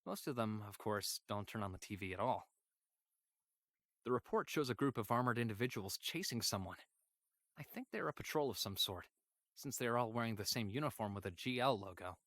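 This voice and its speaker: A man voicing a polite, weary android companion who narrates a sci-fi visual novel diary in a measured, formal, hesitant way. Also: fairly low pitch, animated, fairly bright tone, no echo. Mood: detached, unsettled. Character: weary obedient male android companion, polite, quietly troubled.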